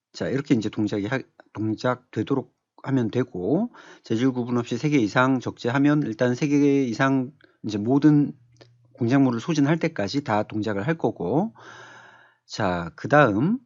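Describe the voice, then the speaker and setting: A man giving an unscripted software tutorial as an instructor, explaining step by step and asking rhetorical questions. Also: mid-range voice, expressive, measured pace, dry recording, highs cut off. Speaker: automation instructor. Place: dry-sounding voice-over on a screen recording.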